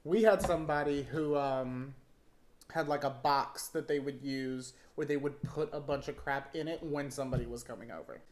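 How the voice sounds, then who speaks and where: A man in his thirties talks casually across a table, animated and teasing, laughing easily. His voice sits at an ordinary middle pitch. The room adds a noticeable echo around him. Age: 30s. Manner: animated, joking, quick to laugh. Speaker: real estate agent, co-host. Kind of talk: casual advice chat. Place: indoor room set, seated at a table.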